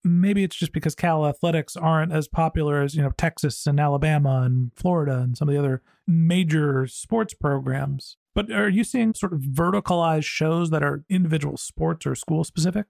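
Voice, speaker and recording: A man's voice: fairly high pitch, normal speed, creaky, hardly any pauses, darkish tone, dry recording, clean studio sound. A man with an American accent hosting a podcast interview in a conversational way. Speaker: podcast host.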